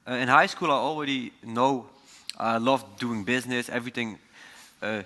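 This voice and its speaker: A man's voice: medium pitch, fairly even intonation, normal speed, bright, forceful tone. A young man from Belgium, a student, answering eagerly with some stumbles. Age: young adult. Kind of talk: panel answers. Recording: long hall reverb.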